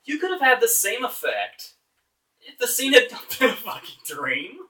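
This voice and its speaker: A man's voice: extremely high pitch, very animated, normal speed, pushed and bright. A man reviewing movies informally as a host, exasperated, emphatic and sarcastic, with casual swearing. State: voice getting hoarse. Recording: distant microphone.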